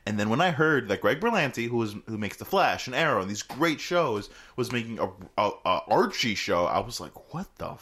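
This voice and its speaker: Man, podcast co-host, casual chat, lively pitch, excitable. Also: fairly quick, few pauses. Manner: enthusiastic, animated, joking.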